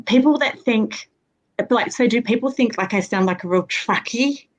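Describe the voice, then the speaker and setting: A woman's voice: a little high, moderate pace, muffled recording. A woman from Australia with an Australian accent touched by American, chatting casually and rambling with many restarts on a livestream. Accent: Australian, with some American. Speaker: guest on a livestream. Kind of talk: casual chat.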